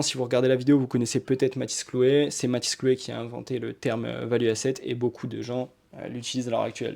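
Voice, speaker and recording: Gravelly young man giving pushy advice. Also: medium pitch, a little mellow. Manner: rambling, repetitive, pushy advice with fillers. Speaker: marketing coach. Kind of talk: casual motivational talk to camera.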